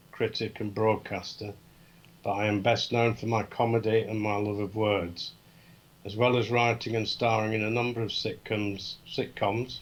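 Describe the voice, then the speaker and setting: A man reading out quiz questions in a steady, matter-of-fact way on a casual live show. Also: fairly low pitch, highs cut off. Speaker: quiz guest.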